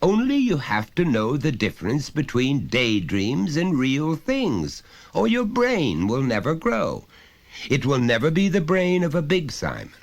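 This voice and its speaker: Stern, self-important father for an audio short story, man performing, insistent and lecturing, extremely animated intonation. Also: on the high side, unhurried, pitched above the performer's own voice, heavily acted. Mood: goading, condescending. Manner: emphatic, lecturing, pompous. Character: overbearing, pompous middle-class father, stern and insistent.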